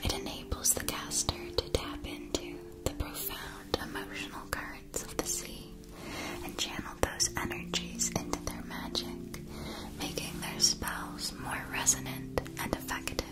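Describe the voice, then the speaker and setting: A woman playing a composed, exacting wand maker in an ASMR fantasy roleplay, speaking in a soft, breathy whisper with formal, precise wording. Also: natural voice, rough recording. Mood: hushed, reverent. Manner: slow, deliberate, formal and precise.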